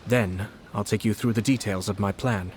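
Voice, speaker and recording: A man playing a calm, calculating noble. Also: on the low side, slight background noise, natural voice. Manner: measured, polite and calculating. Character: calm, scheming young male noble commissioner. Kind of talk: video game cutscene dialogue.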